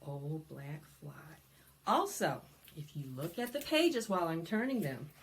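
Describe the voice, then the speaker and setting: A woman presenting storytime for young children, singing and reading aloud in an animated, sing-song way that coaxes them to join in. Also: sits low, unhurried. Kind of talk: interactive preschool storytime, singing and read-aloud.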